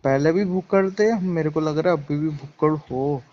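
A man's voice: a little high, moderate pace, a little mellow, natural voice. A young man playing a jealous, accusing ex-boyfriend in a staged phone call, insistent and repeating himself. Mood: bitter, jealous. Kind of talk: staged phone-call conversation in casual Hindi. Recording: dull lo-fi phone-call sound.

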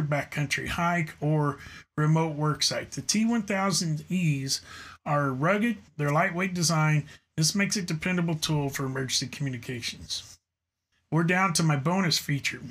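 A man's voice: on the high side, a bit bright. A middle-aged man giving an earnest, semi-scripted product review to camera, explaining features and occasionally stumbling over his lines. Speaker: YouTube host reviewing radio gear. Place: indoor studio room with gear shelves.

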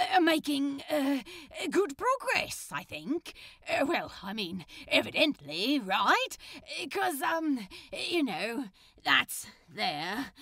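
Woman doing a flustered male superhero, storybook reading, stammering, desperate, sarcastic, bright and gravelly. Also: sits high, no echo, clean studio sound, exaggerated voice. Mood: awkward, evasive. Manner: stammering, hesitant, blurting. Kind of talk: acted fan fiction audiobook reading.

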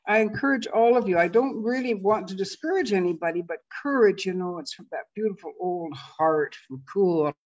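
A woman in her fifties or older with a deep, low voice, telling a personal story on a video call. She talks expressively, with loud emphasis and soft asides. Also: moderate pace, few pauses. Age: 50s or older. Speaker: co-presenter of an online master class. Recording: dull, lo-fi video call audio.

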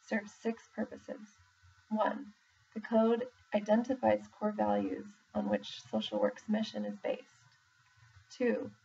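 A woman reading a formal document aloud in a measured, deliberate way, pausing between phrases. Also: medium pitch, gravelly, a little mellow. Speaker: reader, social worker in exam preparation. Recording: muffled, distant mic with faint background noise.

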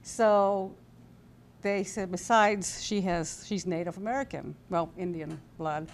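A woman in her sixties telling a personal story as an interview guest, halting and conversational. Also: on the low side. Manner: halting, conversational, voices quoted dialogue. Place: indoor TV studio-style set at a convention. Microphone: lapel mic. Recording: background noise and room ambience.

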